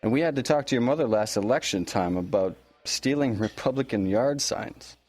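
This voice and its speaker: An actor playing a polite, easygoing small-town police officer in an audio drama, informal and a little awkward, in natural conversational dialogue. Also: male voice, medium pitch, lively intonation, moderate pace, darkish tone, close to an everyday voice. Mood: businesslike.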